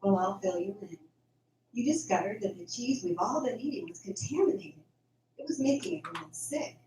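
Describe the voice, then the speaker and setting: A woman's voice: a little high, lively intonation, normal speed, a little mellow. A woman playing an affectionate, encouraging young farm woman in an amateur radio drama. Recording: echoey room, faint background noise.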